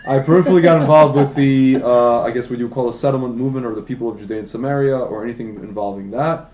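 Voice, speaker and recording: A man's voice: medium pitch, quick pace, darkish tone, roomy sound. A man in his late thirties, telling his life story in a casual, rambling podcast chat, with many restarts and asides. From United States. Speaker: wine business founder, podcast guest. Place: indoor room, livestream podcast.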